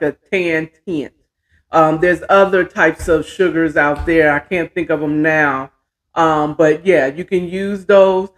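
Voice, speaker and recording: A middle-aged American woman hosting a show, chatting casually to viewers in a folksy way, often half talking to herself. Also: sits low. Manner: folksy, talks to herself while working. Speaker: host of a storytelling show.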